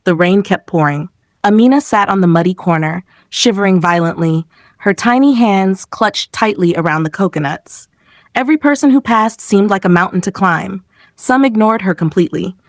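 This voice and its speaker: A woman's voice: medium pitch, animated, normal speed, no echo. A woman reading a scripted, dramatic folktale as a storyteller.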